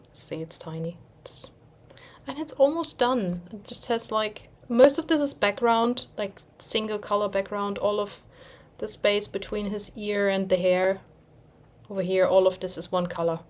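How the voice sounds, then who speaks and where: A young German woman chatting casually in English, rambling a little and pausing to search for words as she shows her stitching projects. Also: medium pitch. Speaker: cross-stitch vlogger. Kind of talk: casual chat.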